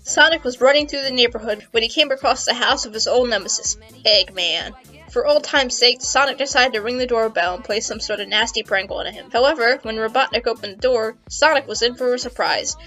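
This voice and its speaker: Female comedy narrator, fairly high voice, playful dramatic reading, casual delivery over background music. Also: brisk, sharp tone. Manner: amused, playful, giggly. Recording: music behind the voice.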